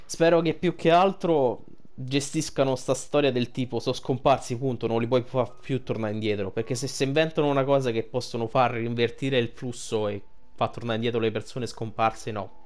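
A man's voice: mid-range voice, steady tone, moderate pace, a little mellow. Young guy, streaming a game, talks colloquial and sweary, really into it. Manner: colloquial, swearing, emotionally invested. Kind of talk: casual game commentary.

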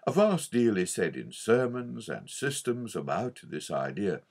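A man reading an old theological book aloud as an audiobook narrator, in an expository manner with a husky voice. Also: fairly low pitch, sing-song, unhurried, darkish tone. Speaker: audiobook reader.

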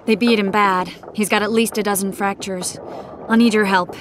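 An actress playing a shrewd, composed sorceress in a dark fantasy video game, cool and matter-of-fact. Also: female voice, medium pitch, steady tone, moderate pace, noisy background, natural voice. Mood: focused. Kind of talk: dramatic video game acting. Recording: music behind the voice.

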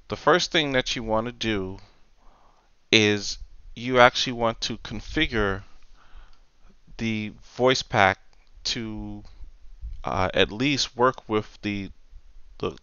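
A man with a fairly low, smooth voice walks through a software tutorial step by step. He explains things off the cuff, pausing and repeating words as he goes. The recording is close and dry, with a slightly dull top end. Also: no room sound. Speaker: tutorial presenter. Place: indoors, dry voice-over for screen recording.

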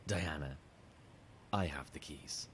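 Sly deep-voiced man feigning sickness. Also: slow, background noise. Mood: pleading, placating. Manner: wheedling, swinging between groans and goading. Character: sly manipulative man feigning sickness, eerie host to revellers. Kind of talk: naturalistic horror audio drama acting.

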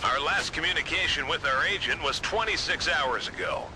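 A man's voice: a little high, expressive, moderate pace, close to an everyday voice. A man playing a businesslike headquarters radio operator in a video game, giving a formal, urgent briefing. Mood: urgent, official. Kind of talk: video game cutscene acting. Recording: noisy ambience behind the voice.

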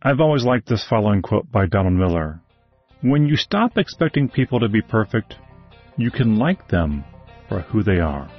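A man's voice: on the low side, big pitch swings, moderate pace, faint music behind. A man hosting a podcast, reading a scripted monologue of frank advice with wry asides. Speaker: podcast host, horse show announcer. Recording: dull lo-fi dry recording.

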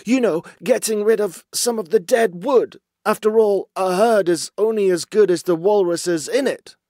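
A man's voice: sits high, very animated, slow, gravelly, slightly rough, no echo, clean studio sound, voice pushed up, heavily acted. A man voicing a timid, nervous walrus underling in a storybook, anxious, hesitant and eager to placate his chief. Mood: stammering, placating.

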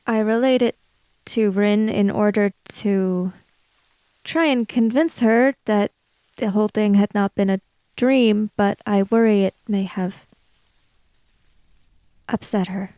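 A woman improvising in-character dialogue in a tabletop roleplaying game, in measured, formal phrases with pauses. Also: mid-range voice, steady tone, mellow, clean tone, dry recording.

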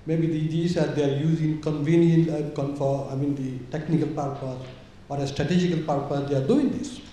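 A man asking a question from the audience, halting as he searches for words. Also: fairly high pitch, fairly even intonation, warm tone. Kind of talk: question from the floor. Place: lecture hall audience seats. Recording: very echoey hall, faint noise.

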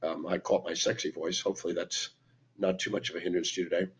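Hoarse older man, raspy lecturing voice. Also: low voice, level tone, fast. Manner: emphatic, lecturing, lists points with hand gestures. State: hoarse from laryngitis. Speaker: speaker and teacher. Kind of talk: talking to camera, teaching talk. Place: indoor room at home. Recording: dull lo-fi webcam sound.

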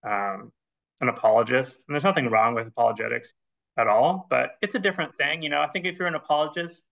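A man's voice: mid-range voice, quick pace, gravelly, fairly bright tone. A man in his thirties, from the Netherlands, speaking with an American accent as an interview guest over a video call, earnest and explanatory. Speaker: guest scholar. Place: plain indoor room. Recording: dull lo-fi video call audio.

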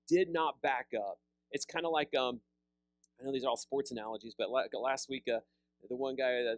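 A pastor in his thirties preaching a sermon, seated with a handheld mic, animated and conversational, often repeating words as he restarts. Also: mid-range voice, no echo. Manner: animated preaching with big hand gestures, rising loud on emphasis. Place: church fellowship hall, seated on a stool.

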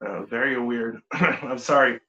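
A man with an Israeli accent, a native Hebrew speaker, chatting as a podcast guest in a halting way with many fillers. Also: mid-range voice, sharp tone, dull audio. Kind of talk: casual interview chat.

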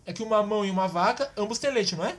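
A woman's voice: medium pitch, rapid-fire, lightly acted. A woman voicing a goofy, cheeky, dim young boy in a Brazilian children's cartoon, whiny and clownish. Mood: clueless. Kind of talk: children's cartoon comedy dialogue.

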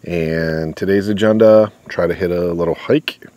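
A man in his forties hosting a casual camping vlog with dry, low-key humor, recorded outdoors at a campground on a lapel mic. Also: fairly even intonation, normal speed, warm tone, dry recording. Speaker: vlog host. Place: outdoor campground under a canopy.

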